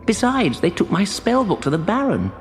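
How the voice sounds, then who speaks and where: A man puts on the voice of a young boy learning sorcery in a storybook. The pitch is lifted and swings about, sounding eager, timid and a little bumbling, but always hopeful. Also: huge pitch swings, moderate pace, slightly rough, soft background music, full character voice. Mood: anxious. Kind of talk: audiobook character voice.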